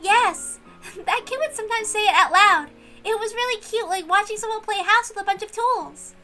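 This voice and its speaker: A woman voicing an amused, kindly older female servant reminiscing in an expressive visual novel read-aloud. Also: extremely high pitch, moderate pace. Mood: fondly amused. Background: music.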